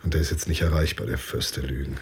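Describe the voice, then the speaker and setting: An actor playing an injured, cynical middle-aged minstrel in a radio drama, with a husky voice and a wry, groaning manner. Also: male voice, rumbling low, steady tone, quick pace, exaggerated voice. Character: injured, cynical medieval-town minstrel man, no longer young.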